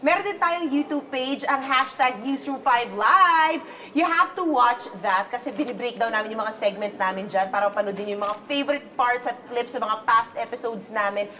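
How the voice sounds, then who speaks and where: Filipina host, bit high voice, bubbly taglish chatter, live newsroom show. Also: female voice, a little fast, hardly any pauses, bright, forceful tone. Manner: bubbly, switching between English and Tagalog. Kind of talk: upbeat Taglish show hosting. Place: newsroom studio.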